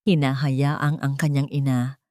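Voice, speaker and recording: A woman's voice: rumbling low, level tone, measured pace, warm tone, no room sound, clean studio sound. A woman with a Filipino accent reading a scripted dramatic story as an audio narrator.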